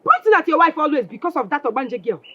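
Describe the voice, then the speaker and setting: A woman's voice: sits high, big pitch swings, fast, bright, forceful tone, no room sound, lo-fi sound, heavily acted. A woman playing a quarrelsome, spiteful village wife with a Nigerian accent, scolding and repeating herself insistently in film drama style. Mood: indignant. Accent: Nigerian Igbo-English. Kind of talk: Nollywood film drama acting.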